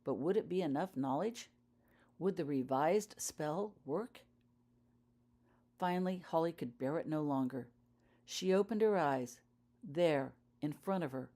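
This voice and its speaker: A woman's voice: sits low, warm tone. A woman reading her own fiction aloud as audiobook narration, telling the story in character-focused prose.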